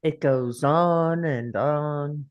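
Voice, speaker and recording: A man playing a weary, grieving time traveller who muses slowly to himself in a script table read. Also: fairly high pitch, clean tone. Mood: musing.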